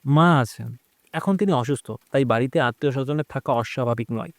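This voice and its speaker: A man as a soft-spoken, earnest young man. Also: mid-range voice, a little fast, no echo, studio quality, voice pushed down, natural voice. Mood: matter-of-fact. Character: calm, earnest young working man devoted to his mother.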